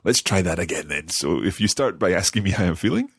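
A man hosting a language podcast lesson, speaking clearly and patiently and repeating phrases slowly. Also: low voice, expressive, dry recording, studio quality. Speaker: language course host. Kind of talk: scripted podcast lesson chat.

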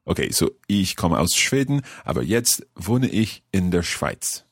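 A man hosting a language podcast lesson, speaking clearly and patiently and repeating phrases slowly. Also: sits low, big pitch swings, no echo, clean studio sound. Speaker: language course host. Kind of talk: scripted podcast lesson chat.

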